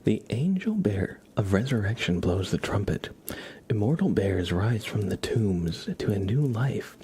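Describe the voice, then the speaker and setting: A young adult man speaking softly and gently as an ASMR livestreamer, half whispering as he chats casually to viewers. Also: lowish voice, animated, unhurried, husky. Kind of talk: soft-spoken ASMR, casual chat with viewers.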